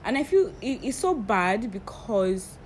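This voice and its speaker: A woman, co-hosting a podcast and telling stories in animated casual chat. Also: mid-range voice, a little slow, a little hiss or hum. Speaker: podcast co-host.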